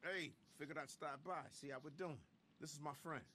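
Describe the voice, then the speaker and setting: An actor playing a commanding middle-aged American music mogul in a video game, blunt and profane. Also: male voice, a little high, brisk, bright, forceful tone, a little hiss or hum. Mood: relaxed. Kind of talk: video game cutscene acting.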